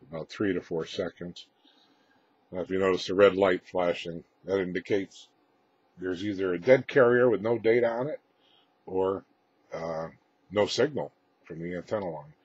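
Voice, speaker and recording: A man giving an unscripted technical walkthrough as a presenter, in a halting, matter-of-fact way with a husky voice. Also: sits low. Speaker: presenter demonstrating his radio equipment. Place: indoor room with equipment rack. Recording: roomy, slightly muffled home-video sound.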